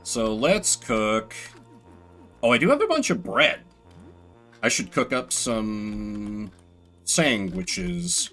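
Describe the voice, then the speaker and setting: A man with a bright, forward voice and very animated pitch swings commentates on a game as he plays. He reads on-screen text theatrically and drifts into sardonic, rambling asides, with faint music underneath. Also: creaky. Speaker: game streamer. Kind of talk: solo-voiced let's play commentary, reading game text aloud dramatically.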